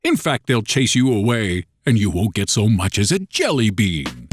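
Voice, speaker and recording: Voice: medium pitch, big pitch swings, normal speed, no room sound, studio quality, voice pushed down. A narrator as a grand, wildly swooping magic genie. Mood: gently scolding. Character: friendly, curious magic genie, teasing and theatrical. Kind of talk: children's storybook character voice. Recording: clear music bed behind.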